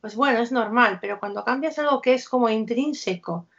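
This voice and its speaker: A woman's voice: a little high, a little fast, vocal fry, a bit bright. A woman interviewer asking questions with engaged enthusiasm on an academic podcast. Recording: slightly lo-fi.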